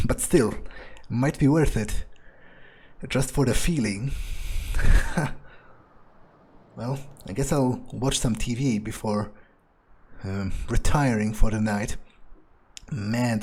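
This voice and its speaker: A man's voice: lowish voice, slow, pauses often, darkish tone, husky. A man playing a smug, power-hungry vampire in improvised roleplay, musing and gloating in a hesitant way. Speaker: gamer playing in character.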